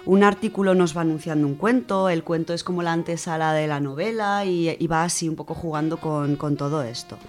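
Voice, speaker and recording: A woman's voice: sits low, a little fast, few pauses, a little mellow, soft background music. A woman with an accent from Spain presenting a literary radio segment in a conversational, enthusiastic, semi-scripted way.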